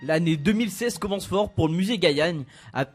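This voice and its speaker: A young man reading a weekly culture events column on a radio show in a brisk, informative way. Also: high-pitched, animated, soft background music. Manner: brisk, informative, laughs easily.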